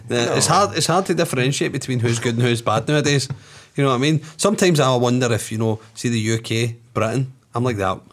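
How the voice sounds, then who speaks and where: A man with a Scottish accent chatting casually and telling stories in an animated way, often checking that listeners follow. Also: medium pitch, quick pace, few pauses. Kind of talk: casual chat, telling anecdotes.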